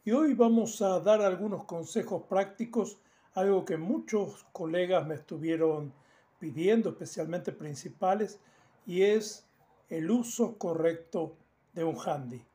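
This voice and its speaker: A man's voice: high voice, measured pace, mellow. A man, giving an informal, didactic tutorial straight to the viewer as a channel host. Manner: didactic, addresses viewer directly. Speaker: amateur radio channel host. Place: small indoor room at home. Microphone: phone at arm's length.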